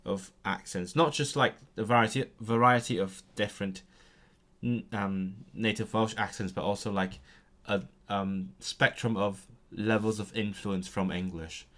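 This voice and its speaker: A young man talks casually on a live stream as he studies. He interrupts himself often and says practice words aloud over and over, in a fairly low voice. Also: steady tone, a little slow, fairly bright tone. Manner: chatty and self-interrupting, reads out and repeats practice words aloud. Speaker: language learning streamer. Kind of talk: casual live-stream chat while studying.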